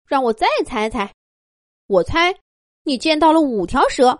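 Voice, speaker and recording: Woman voicing an eager, high-pitched young boy rabbit. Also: dry recording, clean studio sound. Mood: eager, curious. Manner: insistent, excitable rapid questioning.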